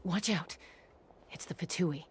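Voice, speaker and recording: Woman as a low-voiced, level-headed scout. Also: faint music behind, natural voice. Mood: serious, watchful. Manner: measured, serious. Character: calm, level-headed adult female scout, cautious leader. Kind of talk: anime video game voice acting.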